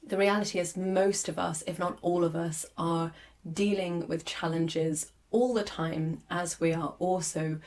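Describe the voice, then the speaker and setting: A woman in her forties hosting a podcast, hoarse as her lost voice comes back, talking candidly and reflectively with many fillers. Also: on the low side, darkish tone. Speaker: podcast host. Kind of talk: solo podcast monologue.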